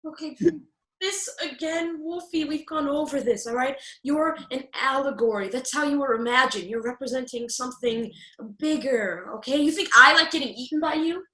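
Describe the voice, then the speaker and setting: Woman as a young storybook girl, sweet but no-nonsense, high bright voice, comic radio play, roomy echo. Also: normal speed. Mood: weary, coaxing.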